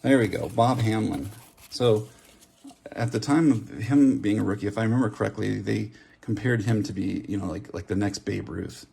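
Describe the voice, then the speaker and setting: A man's voice: on the low side, moderate pace, vocal fry, dark tone, slightly rough. A man giving offhand, casual running commentary as host, reading out names and musing aloud over faint handling noise. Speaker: host of a trading card opening channel. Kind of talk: casual running commentary while opening card packs. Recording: background hiss, handling clicks and card shuffling.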